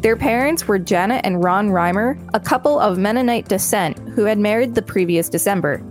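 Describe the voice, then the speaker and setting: A woman's voice: mid-range voice, brisk, faint music behind. A woman podcast co-host reading an encyclopedia article aloud and adding commentary.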